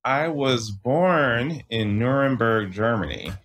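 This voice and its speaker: A young adult man from southeastern Michigan, a guest author talking casually, relaxed and restarting often. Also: medium pitch, slow, a little hiss or hum. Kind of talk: casual interview talk.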